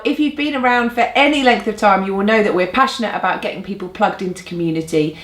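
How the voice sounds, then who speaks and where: Encouraging woman in an echoey room. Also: mid-range voice. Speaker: church leader presenting a newsletter. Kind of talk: upbeat announcements to camera. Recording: roomy indoor echo.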